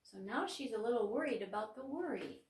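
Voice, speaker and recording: A woman's voice: fairly high pitch, recorded from a distance, slight background noise. A middle-aged woman with an Upper Midwest American accent, reading a picture book aloud to children in a church and stopping to explain the pictures. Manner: gentle, slow and expressive, pausing to explain pictures. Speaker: children's librarian hosting storytime. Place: church room. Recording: roomy sound, mic set back.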